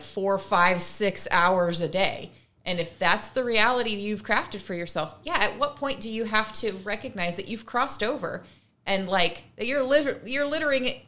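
A woman speaks as a podcast co-host in casual commentary. She explains through examples and drops in wry asides. Her pitch sits in an ordinary range for a woman. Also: fairly bright tone.

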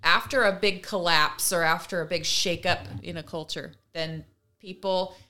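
A woman's voice: on the low side, fairly even intonation, a little slow, sharp tone. A woman co-hosting a casual talk show, commenting conversationally and acting out imagined quotes as she explains. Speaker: podcast co-host.

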